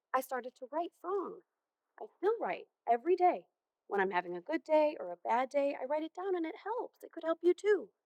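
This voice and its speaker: A woman playing a caring, patient high school counselor in a stage play, speaking in a gentle, earnest, measured way. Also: dry recording. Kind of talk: amateur stage play acting. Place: theater stage. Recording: muffled, dull stage recording.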